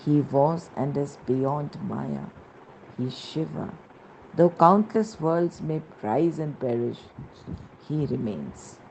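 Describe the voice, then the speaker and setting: A woman reading devotional narration in a reverent, measured manner with emphatic phrasing. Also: low-pitched, lively intonation, creaky, a little mellow, slight background noise, low-quality recording.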